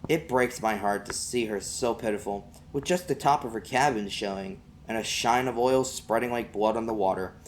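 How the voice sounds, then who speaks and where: A man's voice: lowish voice, normal speed, hardly any pauses, a little hiss or hum, poor audio. A man reading an audiobook as an amateur, narrating in the first person in a folksy vernacular.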